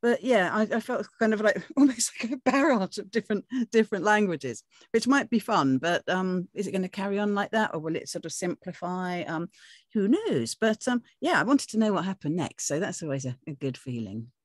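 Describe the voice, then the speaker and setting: A woman's voice: mid-range voice, expressive, a little fast, no room sound, clean studio sound. A woman giving casual, tentative feedback as a panellist, hedging as she works out her opinion. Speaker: script reading panellist.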